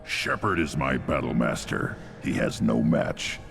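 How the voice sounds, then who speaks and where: A man voicing a blunt, hulking young alien warrior in a video game, with a deep growling voice and terse delivery. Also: expressive, unhurried, creaky, fairly bright tone, faint music behind, heavily acted. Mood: proud, loyal.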